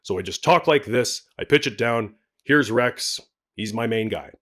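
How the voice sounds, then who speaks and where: Guy doing cartoon dad lead, just talking lower, natural sound. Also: expressive, a little slow, fairly bright tone. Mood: plain. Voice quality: low-pitched, natural. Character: low-voiced father, animated lead character.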